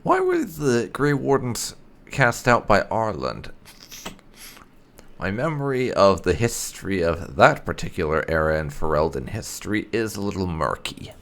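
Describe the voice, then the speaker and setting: Animated man with a creaky voice. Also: on the low side, a little slow. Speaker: gaming channel host, player. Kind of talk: reading game dialogue choices aloud in character.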